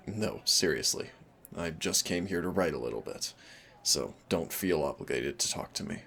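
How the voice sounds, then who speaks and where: A man playing a shy, gentle, awkward guy flirting at a cafe in an audio roleplay, hesitant, nervous and chatty. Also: rumbling low, vocal fry, heavily acted. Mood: shy, friendly. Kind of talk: soft-spoken audio roleplay.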